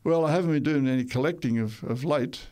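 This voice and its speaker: A man's voice: a little high, fairly quick, darkish tone. An older man who grew up in Tonga, telling his life stories in a radio interview, rambling a little and correcting himself as he goes. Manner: rambling, with restarts and self-corrections. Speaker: interview guest.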